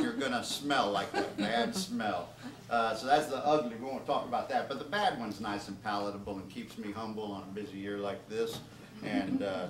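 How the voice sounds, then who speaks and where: Man reading aloud, distant and roomy. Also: lowish voice. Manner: informal asides to the listeners. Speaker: author reading from his own book. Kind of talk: comedic storytelling, reading aloud to an audience. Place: live reading at a bookstore. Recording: roomy, distant, noisy live event recording.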